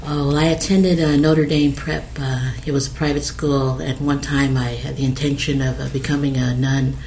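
A middle-aged woman from American Samoa speaks in a flat, level tone, pausing often with "uh" as she recalls things. The recording carries a faint hiss underneath. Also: extremely low pitch, rough recording. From American Samoa, raised in Hawaii. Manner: hesitant, many fillers. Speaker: interviewee. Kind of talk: oral history interview.

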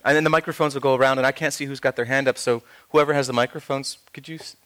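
A man moderating an onstage conversation, halting with restarts and stammered repeats. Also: medium pitch, fairly even intonation. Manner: hesitant restarts, warm encouragement. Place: live event with audience.

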